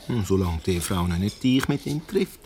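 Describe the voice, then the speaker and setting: Young swiss german boyfriend, low voice, unbothered, placating, bit teasing, radio comedy. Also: male voice, lively intonation, normal speed, a little mellow, slight background noise. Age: young adult. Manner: matter-of-fact, placating. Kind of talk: light radio comedy play acting.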